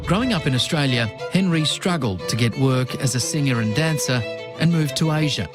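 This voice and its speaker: A man narrating a news feature in a measured, scripted way. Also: mid-range voice, lively intonation, over music. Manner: measured, formal delivery.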